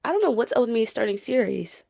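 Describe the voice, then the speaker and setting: A woman's voice: a little high, very animated, fast talker, vocal fry, mellow, breathy edge, no echo, clean studio sound. A woman chatting casually and emphatically about books as a podcast co-host, in an informal way. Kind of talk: casual book chat.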